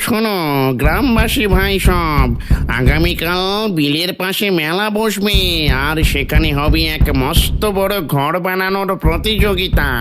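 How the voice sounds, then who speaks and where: Man playing a high-voiced village town crier. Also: natural voice. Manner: declamatory, calling out. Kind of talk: Bengali animated folktale dubbing.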